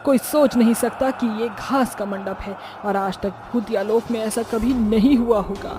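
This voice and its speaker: A woman's voice: fairly high pitch, steady tone, normal speed, over music, lightly acted. A woman voicing a young, lovestruck and bossy witch bride in a horror-comedy cartoon. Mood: excited, impatient.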